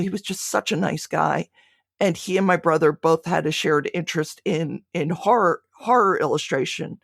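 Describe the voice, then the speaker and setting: A woman's voice: fairly low pitch, creaky, breathy edge, no room sound, studio quality. A woman being interviewed, speaking reflectively and conversationally, often restarting her phrases mid-thought. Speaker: podcast creator being interviewed.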